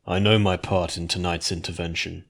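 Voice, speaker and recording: A loyal male earth pony from a fantasy story voiced by a man. He mutters in a low register, quiet and sombre. His manner is humble and deferential. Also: level tone, moderate pace, gravelly, exaggerated voice. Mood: solemn, devoted. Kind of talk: dramatic fan fiction reading.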